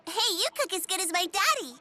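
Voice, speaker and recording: A woman voicing a spunky, innocent little girl with a childlike, squeaky voice in a video game. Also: animated, fairly quick, pushed and bright, exaggerated voice. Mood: delighted. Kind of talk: English video game voice acting.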